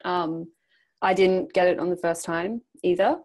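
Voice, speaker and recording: A woman's voice: fairly low pitch, fairly even intonation, measured pace, a little mellow. A young woman on a video call, coaching a group in an encouraging way and reading a prepared text aloud. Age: young adult. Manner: encouraging, affirming. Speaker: facilitator leading a group practice exercise. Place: small indoor room, on a group video call. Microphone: computer microphone on a video call.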